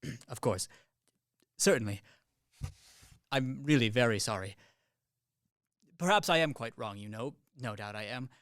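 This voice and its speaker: A man's voice: mid-range voice, animated, measured pace, pauses often, fairly bright tone, no room sound, clean studio sound. A man acting in a radio play as a tired, practical professional soldier, apologetic and wry, sighing and hesitating. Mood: apologetic, resigned. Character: weary, practical professional soldier, politely self-deprecating.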